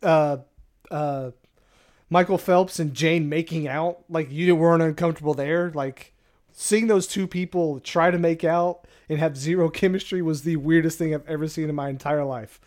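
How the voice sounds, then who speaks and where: A man with a fairly high-pitched voice co-hosts a casual movie chat. His manner is wry and joking. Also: fairly even intonation, normal speed, a little mellow. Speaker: movie podcast co-host.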